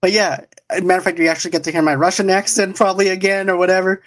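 A man's voice: sits high, level tone, rapid-fire, fairly bright tone. A man hosting an online pop culture show in casual chat, talking in a rambling, repetitive way.

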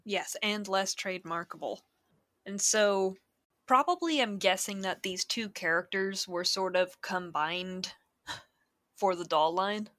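A woman co-hosting a podcast, talking in a measured, dryly teasing way. Her voice is bright and clear, recorded close with no room echo. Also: medium pitch. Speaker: podcast co-host. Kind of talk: casual podcast chat.

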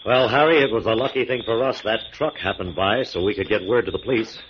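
An actor playing a confident crime-fighting hero with brisk, assured 1940s radio delivery. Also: male voice, fairly low pitch, close to an everyday voice. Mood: assured, questioning. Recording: muffled, noisy 1940s radio recording.

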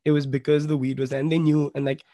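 A man's voice: on the high side, little pitch movement, fast, dark tone, no room sound, clean studio sound. A young man from Bombay telling a story as a podcast guest, rambling and swearing, with lots of "like" fillers. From Bombay, India. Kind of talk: casual storytelling.

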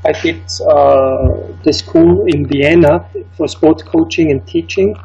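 Austrian male guest, middle-aged, raspy and hesitant, dull recording. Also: a little high, steady tone, slow, warm tone. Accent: Austrian German. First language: German. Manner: hesitant, many fillers and restarts. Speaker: ski instructor. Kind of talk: interview guest recounting his life.

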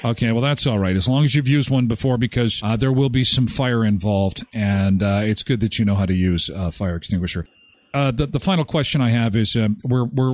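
A man's voice: mid-range voice, lightly acted. A man playing a polite, officious hotel clerk for a prank call, straight-faced, with hesitant phrasing.

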